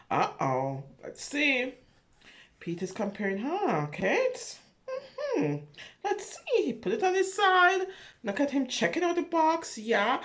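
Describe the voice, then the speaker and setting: Female storyteller for preschool read-aloud. Leisurely delivery, very lively intonation, chatty asides and questions to young listeners. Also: mid-range voice. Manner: expressive, wondering, talks directly to young listeners, asking questions. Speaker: children's storyteller.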